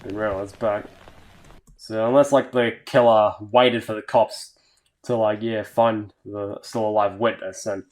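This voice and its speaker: A man's voice: fairly low pitch, fairly even intonation, a little slow, darkish tone. A man doing a casual video reaction, reading captions aloud and muttering sweary asides. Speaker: YouTube reaction host.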